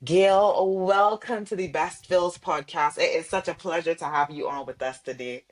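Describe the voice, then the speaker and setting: A woman hosting a podcast, reading an emphatic scripted intro and then chatting warmly with affirming interjections. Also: lowish voice, moderate pace. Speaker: podcast host.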